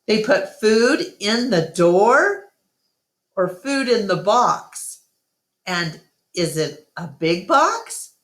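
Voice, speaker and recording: A woman's voice: medium pitch, big pitch swings, very slow, reverberant, pitched above the performer's own voice. A woman voicing a chatty, innocent young female elephant in a storybook reading, eager and full of questions. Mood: eager, curious.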